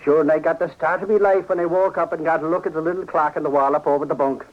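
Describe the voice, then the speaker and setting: On a muffled old radio drama, a man plays a blustering Irish investigator. His voice sits high, and he talks in a simple, folksy, slightly sheepish way. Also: steady tone, quick pace, close to an everyday voice. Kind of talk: 1940s radio acting. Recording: dull lo-fi 1940s radio recording.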